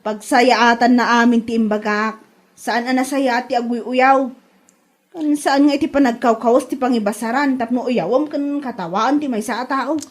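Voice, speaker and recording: A woman playing a sharp-tongued, gossipy village wife in a comedy drama, scolding emphatically with an Ilocano accent. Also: a little high, normal speed, natural voice. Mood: indignant, gossipy. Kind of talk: Ilocano comedy radio-style drama acting.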